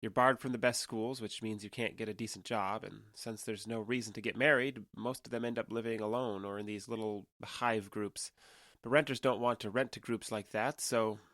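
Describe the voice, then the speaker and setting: A man's voice: on the low side, steady tone, normal speed, a bit bright, no echo, clean studio sound. An American man playing a guarded, sincere alien who passes for a young adult, explaining himself hesitantly in a naturalistic audio drama. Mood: earnest explaining.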